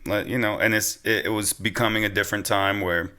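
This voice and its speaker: A man's voice: lowish voice, fairly even intonation, a little fast, pushed and bright. A young American man chatting casually as a podcast guest, easygoing and slangy. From Pennsylvania, United States. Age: young adult. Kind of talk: casual interview chat.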